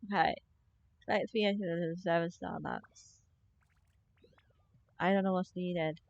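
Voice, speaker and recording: A woman's voice: lowish voice, steady tone, a little slow, vocal fry, dry recording. A woman giving casual live gameplay commentary, chatty and informal, often muttering reactions to herself. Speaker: game streamer. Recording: slightly dull stream mic.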